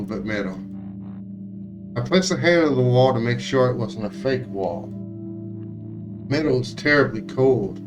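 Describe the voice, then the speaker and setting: A man streaming a visual novel, reading its text aloud in a somewhat halting way with occasional stumbles. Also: medium pitch. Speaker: game streamer.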